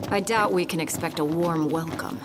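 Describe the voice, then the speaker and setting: An actress playing a cool, cocky young ex-mercenary commander in a video game, brisk, sardonic and casually bossy. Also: female voice, on the low side, background noise, close to an everyday voice. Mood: cool, confident. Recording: music behind. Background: clear game music.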